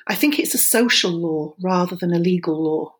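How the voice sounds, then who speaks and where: A woman's voice: lowish voice, moderate pace, slightly rough. A woman hosting a podcast, talking casually and explaining at length to her guest. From Germany. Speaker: podcast host. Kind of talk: casual conversational interview.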